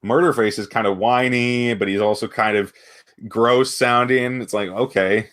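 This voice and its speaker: A man's voice: mid-range voice, fairly even intonation, moderate pace, a bit bright. A man co-hosting a podcast, talking casually and thoughtfully as he works through examples. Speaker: podcast co-host.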